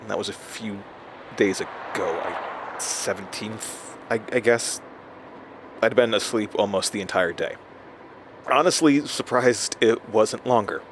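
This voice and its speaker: An actor in an audio drama playing a rattled, self-doubting man who records a halting, stammering journal, talking to himself. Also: on the low side. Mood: haunted, confiding. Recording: steady noise, like inside a moving car.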